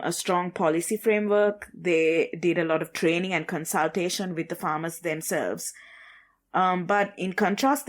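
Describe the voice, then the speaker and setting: A woman with a lowish voice talks through regional news on a podcast. Her delivery is measured and conversational, with plenty of "you know" and "kind of" as she builds her points. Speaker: podcast co-host.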